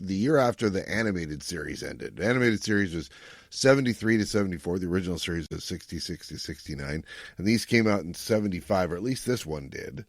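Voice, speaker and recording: Low-voiced man hosting a podcast. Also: dry recording, clean studio sound. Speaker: podcast host. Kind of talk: casual podcast chat.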